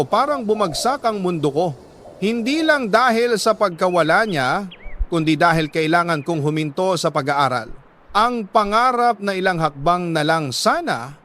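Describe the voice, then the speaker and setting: Filipino male radio storyteller, slightly high voice, emotive and deliberate letter reading, faint noise in the recording. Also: fairly bright tone. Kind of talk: dramatic first-person narration of a letter.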